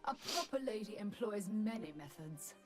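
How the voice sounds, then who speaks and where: A woman's voice: medium pitch, lively intonation, moderate pace, close to an everyday voice. A woman voicing a wealthy, haughty lady assassin in a video game, with clipped, disdainful and sarcastic delivery. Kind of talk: video game character voice lines. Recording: game clips over loud music.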